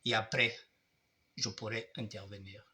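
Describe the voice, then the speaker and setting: Bright-voiced older man with a cold. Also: lowish voice, level tone, normal speed. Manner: addresses audience formally, repeats himself. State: has a cold. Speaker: online show host. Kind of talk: monologue commentary.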